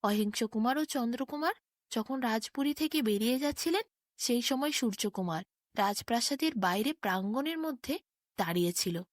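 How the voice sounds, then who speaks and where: A woman's voice: a little high, animated, normal speed, dry recording, clean studio sound. A woman reading a scripted fairy tale as a storyteller.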